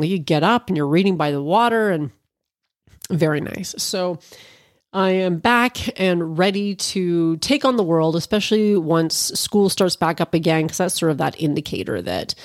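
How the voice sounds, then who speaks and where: A woman's voice: fairly low pitch, darkish tone, no room sound, clean studio sound. A woman from Canada, a bit stuffy from allergies, chatting casually and rambling to her viewers about cooking. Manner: rambling, informal, with fillers and asides. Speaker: cannabis cooking vlogger.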